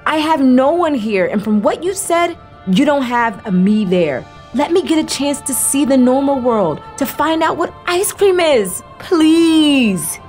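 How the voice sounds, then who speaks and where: A woman's voice: high voice, animated, unhurried, darkish tone, faint music behind. An actress playing an earnest, resolute young female resistance fighter in an audio drama. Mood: pleading, wistful.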